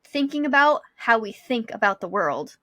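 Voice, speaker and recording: A woman's voice: on the high side, big pitch swings, normal speed, a bit bright, no room sound. A woman in her thirties co-hosting a podcast in casual, reflective chat, explaining ideas and rephrasing them as she thinks aloud. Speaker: podcast co-host; school psychologist.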